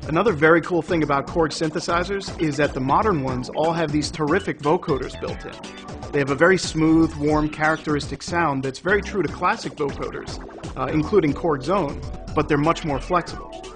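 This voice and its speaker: A man with a slightly high voice explains a product conversationally, as a presenter who is only partly scripted. Music is clearly heard behind him. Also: normal speed. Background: synthesizer music.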